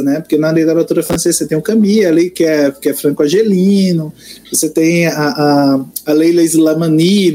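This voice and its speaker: A man's voice: fairly high pitch, warm tone. A man who reviews books, musing aloud with hesitant restarts in a casual conversation. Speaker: book reviewer. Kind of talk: casual conversation about literature.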